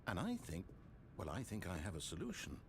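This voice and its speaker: An actor playing an anxious, pleading, theatrical narrator of a video game, speaking in wordy, earnest, dramatic lines. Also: male voice, sits low, huge pitch swings, brisk, very noisy, full character voice. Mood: pleading, wistful.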